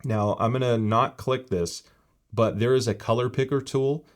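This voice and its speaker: A man giving a casual, explanatory walkthrough of a headset's software, with frequent fillers. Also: mid-range voice, fairly even intonation, moderate pace. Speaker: tech reviewer. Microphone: gaming headset mic in later part.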